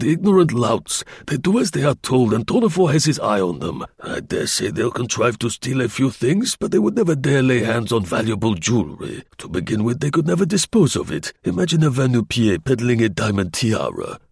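A man voicing a gruff, boastful male revolutionary official who blusters. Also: mid-range voice, sing-song, brisk, hardly any pauses, dry recording, studio quality, full character voice. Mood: blustering.

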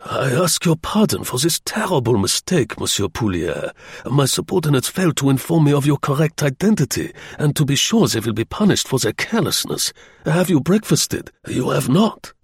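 Male narrator as German general, pompous, excitable, high querulous pitch, imperious, audiobook, dry sound. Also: normal speed, slightly rough, dry recording, full character voice. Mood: courteous, imperious. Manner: imperious, exclamatory.

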